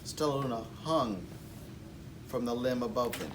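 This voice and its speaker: A man's voice: mid-range voice, lively intonation, moderate pace, far from the mic, background noise. A man reading a children's storybook aloud as narrator. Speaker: storybook reader.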